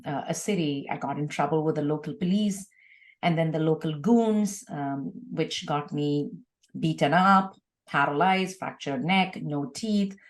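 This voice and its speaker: A middle-aged woman tells personal stories as a podcast guest, acting out the exchanges in an animated way. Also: deep voice. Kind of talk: personal storytelling in an interview.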